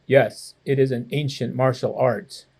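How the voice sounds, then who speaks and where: A man reading the part of a calm, firm old grandfather who gives measured instructions in a children's storybook. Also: mid-range voice, vocal fry, pitched below the performer's own voice. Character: calm, firm old Chinese grandfather and Tai Chi teacher.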